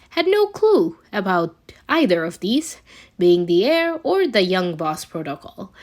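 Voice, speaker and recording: A woman reading a scripted story aloud as an amateur narrator, expressive but a little halting, with occasional stumbles. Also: on the high side. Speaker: amateur fan fiction reader.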